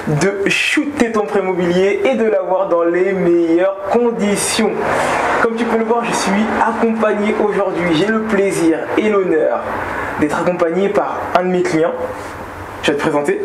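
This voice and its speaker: A man's voice: sits high, steady tone, normal speed, roomy sound, noisy background. A man, a mortgage broker, hosting an upbeat casual interview and addressing the viewer directly. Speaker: mortgage broker and channel host. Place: inside a building.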